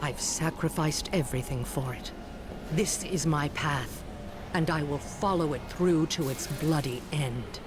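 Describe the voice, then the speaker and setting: An actress playing a hardened, vengeful mystic in a fantasy game, with a solemn, stern delivery. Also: female voice, deep voice, measured pace, noisy background. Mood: cold resolve. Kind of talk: fantasy video game voice acting. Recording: loud background ambience.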